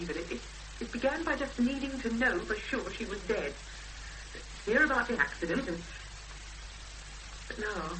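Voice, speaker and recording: A woman playing an earnest young woman in a 1970s British radio drama, expressive and hesitant when pressed, on an old recording. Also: medium pitch, noisy background, lightly acted. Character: Emma, earnest young woman searching for missing sister.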